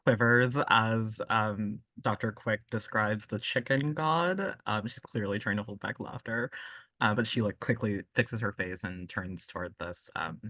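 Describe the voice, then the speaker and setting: A woman's voice: rumbling low, measured pace, fairly bright tone, no echo. Woman, tabletop game player, casual, hesitant, thinking aloud, dry recording. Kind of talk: casual chat and in-game description.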